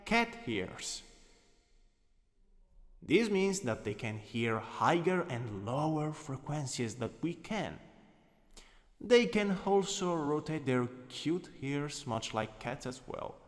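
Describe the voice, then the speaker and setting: A man acts out a gently dominant male teacher with an Italian accent in an ASMR roleplay. He speaks slowly and dotingly, with a melodic swing in pitch, coaxing as he instructs. The voice rings in a long hall-like reverb. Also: big pitch swings. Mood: lecturing, composed.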